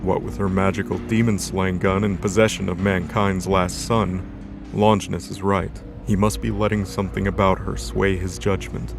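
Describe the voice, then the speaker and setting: Guy narrating a dramatic audio story, lowish voice, clear music playing behind him. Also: little pitch movement, moderate pace. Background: background music.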